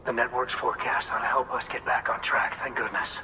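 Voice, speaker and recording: A hopeful farmer-scientist. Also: male voice, medium pitch, steady tone, brisk, sharp tone, long reverb tail, slight background noise. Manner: earnest, hopeful. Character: hopeful middle-aged male farmer-scientist. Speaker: farmer and researcher. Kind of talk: video game voice acting.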